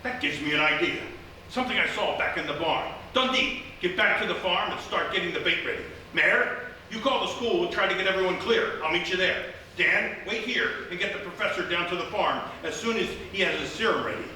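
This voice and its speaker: A cocky small-town lawman, played by a man, commanding and very bright, pitched a little high. It is live comic radio acting, picked up from a distance in a heavily echoing theatre. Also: lively intonation, brisk, heavily acted. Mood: decisive. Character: cocky, take-charge small-town police chief. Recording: echoey live theatre from far off, faint noise.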